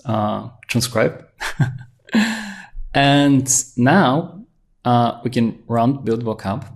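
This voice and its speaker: Gravelly-voiced man demoing software excitedly. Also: medium pitch. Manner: excited, fast-talking demo with frequent restarts. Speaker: tutorial presenter. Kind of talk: screen-share software walkthrough.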